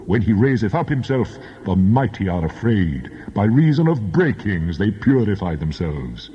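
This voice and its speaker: An actor playing a mighty, wrathful God in a dramatized scripture reading, solemn and declamatory, with a husky voice. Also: male voice, fairly low pitch, very animated. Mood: grand, awe-inspiring. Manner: solemn, declamatory, grandly measured. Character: God, mighty, majestic, wrathful male deity. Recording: muffled, long cavernous reverb.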